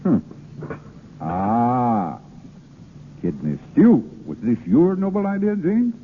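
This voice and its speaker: Jovial, affluent husband, breezy and teasing with very animated pitch; vintage radio drama, narrow-band sound. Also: male voice, slow pace, big-room sound. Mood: genial. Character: genial, well-off husband, jovial and fond. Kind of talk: 1930s radio drama acting. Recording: noisy, hollow, narrow-band old radio broadcast.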